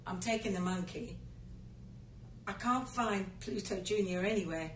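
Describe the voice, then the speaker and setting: An actress playing a weary, embittered wife with a scornful, deliberate manner in a radio drama. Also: female voice, medium pitch, close to an everyday voice. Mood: bitter, resigned. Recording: echoey, distant, background ambient noise.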